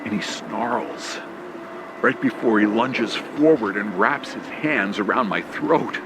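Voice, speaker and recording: A man narrates a horror story in the first person, in the manner of a classic radio play. His low voice has a heavy gravelly creak and moves very slowly, with dramatic pauses. Noisy ambient effects sit clearly behind him. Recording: clear background noise with ambience and vehicle sounds. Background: rain, ambience and vehicle sound effects.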